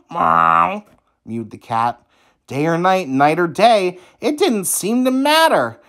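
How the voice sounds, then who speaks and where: Male children's story reader: very lively pitch swings, unhurried, emphatic delivery. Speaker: teacher reading to young children. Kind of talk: expressive children's read-aloud.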